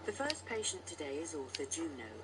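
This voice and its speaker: A woman's voice: sits low, sing-song, a little fast, far from the mic, heavy background noise. A woman reading scripted documentary narration.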